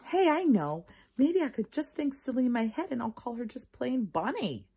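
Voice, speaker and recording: A woman's voice: high voice, sing-song, moderate pace, mellow, breathy edge, voice pushed up, exaggerated voice. A woman voicing a feisty, scheming little first-grade girl in a children's storybook, pleading and bargaining. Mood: hopeful scheming.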